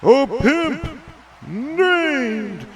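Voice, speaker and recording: Man as a high, drawn-out ring announcer. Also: very animated, heavily acted. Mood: grand, showy. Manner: drawn-out, showy. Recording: clear crowd noise behind.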